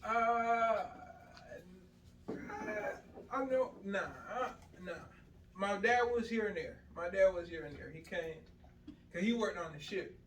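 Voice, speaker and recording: A man's voice: high voice, expressive, takes it slowly, fairly bright tone, a little hiss or hum. A young man, a podcast guest talking in a laid-back, rambling way with lots of fillers. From Jamaica. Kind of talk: casual interview chat. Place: echoey podcast room. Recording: echoey room, distant mic.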